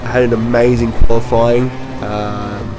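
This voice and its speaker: A man with a slightly hoarse, worn voice casually talks through footage of his kart race, rambling with lots of ums and restarts. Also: medium pitch, level tone, measured pace, noisy background. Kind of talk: casual commentary over race video.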